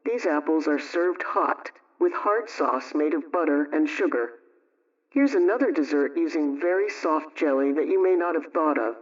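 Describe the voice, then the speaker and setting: A man reading a scripted vintage radio homemaking show as host, giving measured recipe instructions. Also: on the high side, expressive. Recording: dull, distant old-radio sound.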